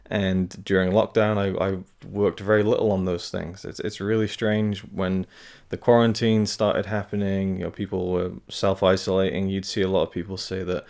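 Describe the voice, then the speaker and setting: A man's voice: deep voice, darkish tone. A man hosting his own channel, giving a casual update to camera in a hesitant, rambling way, talking carefully around tooth pain. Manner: rambling, hesitant, many fillers and restarts. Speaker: film review channel host.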